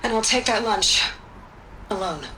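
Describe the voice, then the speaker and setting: A woman's voice: medium pitch, unhurried, sharp tone, slight background noise, poor audio. An actress playing a blunt, impatient female scientist in a video game, speaking in a cutting way.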